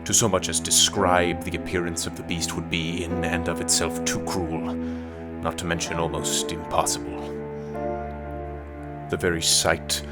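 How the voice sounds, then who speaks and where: A deep-voiced, scholarly professor from a 1920s horror audio play, acted by a man. He is grave and formal, choosing old-fashioned words with measured care. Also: little pitch movement, loud music behind the voice. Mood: haunted, solemn. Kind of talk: dramatic audio play acting and narration.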